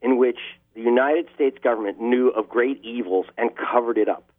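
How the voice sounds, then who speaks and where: A man's voice: mid-range voice, moderate pace. A man, a journalist, answering interview questions over the phone with impassioned, emphatic delivery. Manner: impassioned, building emphasis on key words. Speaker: investigative journalist guest. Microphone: telephone line. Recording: tinny phone line.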